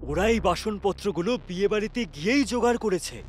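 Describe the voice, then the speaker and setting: A chatty party organizer muses drolly in a slightly high voice. He is a philosophizing character in a comic story, with faint ambient noise behind him. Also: expressive, brisk. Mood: wry, musing. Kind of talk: comic dramatized story dialogue.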